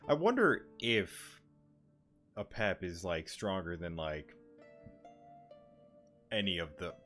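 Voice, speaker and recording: A man's voice: sits low, big pitch swings, takes it slowly, a bit bright, faint music behind. A man commenting casually while playing a game, musing in a rambling, hesitant way. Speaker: gaming streamer.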